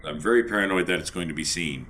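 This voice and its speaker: Forceful, bright-voiced man at a game table. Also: low voice, fast, a little hiss or hum. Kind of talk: casual in-game table talk.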